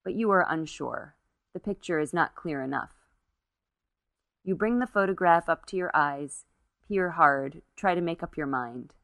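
A woman's voice: fairly low pitch, measured pace, fairly bright tone, no echo. A woman narrating a literary short story as its reader.

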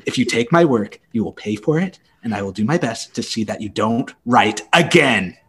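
A man's voice: medium pitch, animated, normal speed, breathy edge. A man co-hosting a podcast, moving between dramatic reading and casual talk. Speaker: podcast co-host.

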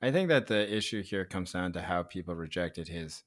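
A man co-hosting a casual chat, animated and outspoken, swearing and laughing as he argues. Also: deep voice, normal speed. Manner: animated, swearing, laughing, bursts into loud asides. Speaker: podcast co-host.

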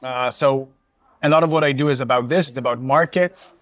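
A man's voice: medium pitch, a little fast, dry recording. A man whose first language is Hebrew, working as a food guide and explaining a family restaurant's history in a chatty way with frequent restarts. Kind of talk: casual conversation. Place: hummus restaurant.

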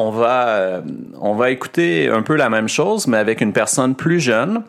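A man with a Québécois French accent hosting a podcast lesson, explaining things carefully with frequent hesitations. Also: mid-range voice. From Quebec. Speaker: podcast host and French teacher.

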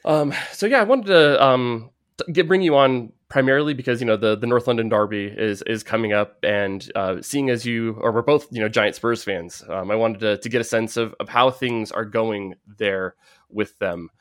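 A man from the Bay Area with an American accent, hosting a casual sports podcast in a halting, rambling, filler-heavy way. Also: medium pitch, fairly bright tone, no room sound, studio quality. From San Francisco Bay Area, United States. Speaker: podcast host.

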